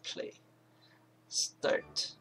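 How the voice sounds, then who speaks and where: A man presenting an informal software tutorial, thinking aloud in a halting, rambling way and correcting himself as he goes. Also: soft background music. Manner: halting, rambling, self-correcting, mumbled asides. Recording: clear background noise, keyboard and mouse clicks.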